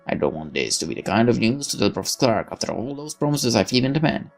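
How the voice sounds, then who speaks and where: A man hosting a gaming video, reading the story narration aloud theatrically, with expressive asides and swearing. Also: mid-range voice, fast, faint music behind.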